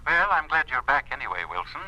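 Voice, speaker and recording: A powerful, patronising official in a 1950s radio drama. The voice is extremely bright and forceful, swinging widely in pitch with suave, emphatic politeness and quiet menace. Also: male voice, very animated, fast, exaggerated voice, poor audio. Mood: jovial, patronising.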